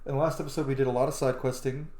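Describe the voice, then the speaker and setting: A man's voice: medium pitch, flat delivery, rapid-fire, warm tone. Roomy-sounding man doing casual gameplay commentary. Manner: informal, slangy, thinks aloud. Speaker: gaming channel host. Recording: roomy sound with game music behind. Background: video game music.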